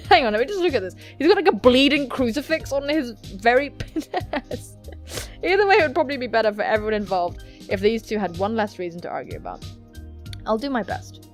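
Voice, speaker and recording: A woman hosting gaming videos, reading a visual novel aloud with playful, theatrical commentary and casual swearing. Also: fairly high pitch. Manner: expressive, theatrical, jokey swearing.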